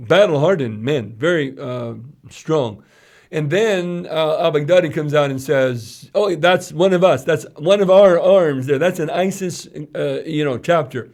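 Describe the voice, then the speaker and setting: A man's voice: fairly high pitch, darkish tone. A man co-hosting a podcast, giving conversational current-affairs commentary in an explanatory way, repeating phrases for emphasis. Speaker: podcast co-host.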